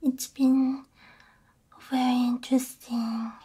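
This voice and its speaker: A woman whispering gently and soothingly in an ASMR roleplay as a repair technician, breathy and close to the microphone. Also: high-pitched, little pitch movement. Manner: gentle, soothing, unhurried, soft hums between phrases. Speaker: ASMR VTuber playing a robot repair technician. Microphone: close binaural ASMR microphone.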